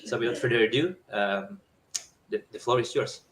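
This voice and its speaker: A man co-hosting a live stream, introducing a guest in a halting way with many fillers. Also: mid-range voice, a bit bright. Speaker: co-host. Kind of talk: casual introduction.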